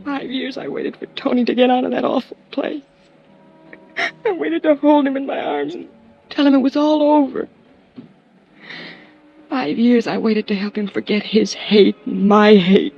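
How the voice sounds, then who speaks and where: A plain young widow in an old radio drama, bitter and broken with grief. Her tearful voice creaks and halts, repeating itself, on a dull, band-limited vintage recording. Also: fairly high pitch, faint music behind. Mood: anguished. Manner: halting, repeating herself in anguish. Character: bereaved young wife, plain, bitter, despairing, confessing. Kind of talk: 1950s American radio drama acting.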